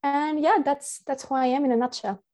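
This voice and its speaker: A woman's voice: sits high, steady tone, fairly quick, dark tone. A woman from Finland, speaking as a podcast guest in a casual, reflective, earnest way. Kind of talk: casual conversation.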